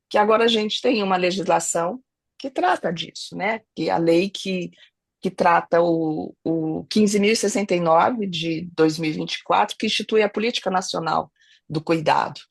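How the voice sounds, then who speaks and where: A middle-aged woman with a Brazilian Portuguese accent, hoarse from a cold, speaking earnestly as a family lawyer and mediator on an online webinar, often restarting phrases and adding tag questions. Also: medium pitch, no room sound. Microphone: video call.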